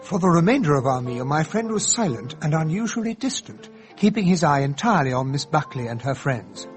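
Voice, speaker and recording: An actor playing a genial, naive English gentleman in a radio drama, earnest and polite, with an upper-class English accent, both in dialogue and narration. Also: a little high, big pitch swings, a little fast, faint music behind. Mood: reflective narration. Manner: earnest, polite, slightly hesitant.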